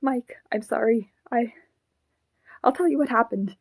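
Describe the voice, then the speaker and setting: A woman voicing a sad, clinging haunted puppet with a young boy's ghost in it, in a halting, earnest fan audio drama performance. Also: fairly low pitch, steady tone, mellow, no echo, clean studio sound. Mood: pleading, upset.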